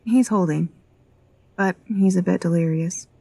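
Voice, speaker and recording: A woman playing an anxious, caring scientist in an audio drama, with a soft, husky, creaky voice. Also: lowish voice, measured pace, no room sound. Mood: subdued. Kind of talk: dramatised screenplay reading.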